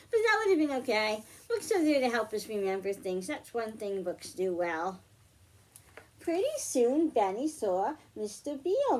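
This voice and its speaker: A woman's voice: on the high side, darkish tone, low-quality recording. A woman hosting a children's library storytime, reading aloud and explaining things in simple phrases for young listeners.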